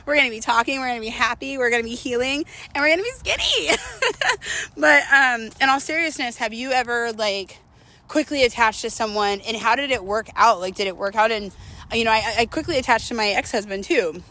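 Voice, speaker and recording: A woman's voice: fairly high pitch, a little fast, pushed and bright. A woman talking casually and candidly to viewers as a vlogger while walking, slightly out of breath, with self-mocking asides and rhetorical questions. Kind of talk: casual confessional chat to viewers.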